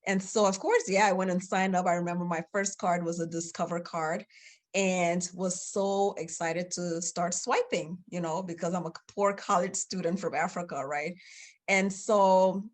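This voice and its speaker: A woman's voice: on the low side, steady tone, normal speed. A woman in her thirties from Liberia, a guest telling her story in a casual interview, talking with many fillers and restarts. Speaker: guest, children's book author.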